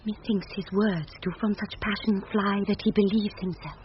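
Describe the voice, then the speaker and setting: A woman's voice: mid-range voice, steady tone, normal speed, a little hiss or hum, natural voice. An actress playing an anxious young noblewoman disguised as a youth, speaking earnest formal verse in a classic Shakespearean radio drama. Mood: hopeful wonder. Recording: dull, band-limited 1970s radio recording.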